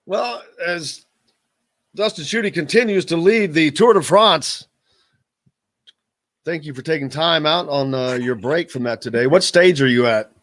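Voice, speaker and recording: A man hosting a sports radio show, chatting casually, joking and rambling in an animated way. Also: a little high, a little slow.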